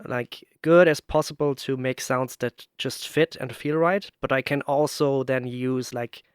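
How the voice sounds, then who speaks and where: A man hosting a casual interview, speaking in a halting way as he searches for words. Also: medium pitch, steady tone, clear, resonant tone, dry recording, studio quality. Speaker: podcast host, interviewer, works in sound.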